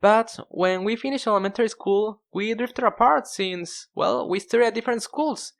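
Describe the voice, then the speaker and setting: A man's voice: high-pitched, moderate pace. Young male narrator, casual storytime delivery, conversational and self-deprecating. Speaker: narrator of an animated storytime video. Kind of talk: casual scripted storytelling with acted dialogue.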